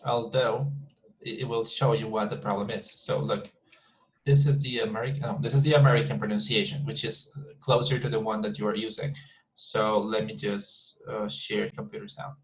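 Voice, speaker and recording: A man's voice: lowish voice, flat delivery, moderate pace. A man from Colombia with a Colombian accent, a Spanish speaker teaching an English class over a video call. He often repeats words as he talks and calls the students "guys". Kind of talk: online class lecture. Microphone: computer mic on a video call.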